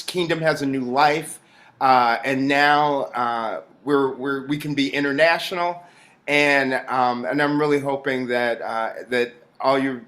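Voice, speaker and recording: Earnest, halting man in an interview. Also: medium pitch, fairly bright tone. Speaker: interview guest, actor. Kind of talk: conversational interview answers.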